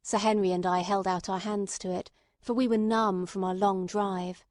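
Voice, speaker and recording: A woman's voice: mid-range voice, flat delivery, moderate pace, darkish tone, no room sound. Woman narrating a classic novel, dry sound. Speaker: audiobook narrator. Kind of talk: scripted literary narration.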